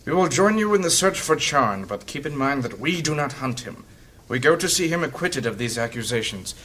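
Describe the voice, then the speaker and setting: A man's voice: medium pitch, sing-song, brisk, fairly bright tone. An actor playing an earnest, wary male adventurer who leads his party in a fantasy audio drama. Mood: firm.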